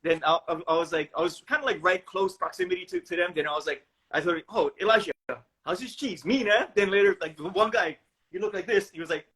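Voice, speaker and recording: Quick, bright-voiced Hawaiian man. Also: high voice, poor audio. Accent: Hawaiian Pidgin-tinged English. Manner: quick, chatty storytelling with many fillers. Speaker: host. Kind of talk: casual chat.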